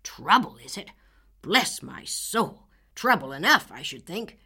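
A woman's voice: fairly low pitch, big pitch swings, unhurried, sharp tone, breathy edge, heavily acted. A woman voicing an irate, blustering old farmer who splutters with rage. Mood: angry.

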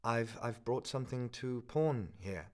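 A man's voice: medium pitch, a little mellow, voice pushed up, close to an everyday voice. A man doing a stammering, desperate young student. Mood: nervous, pleading. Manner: stammering, hurried. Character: poor, nervous young male student, desperate.

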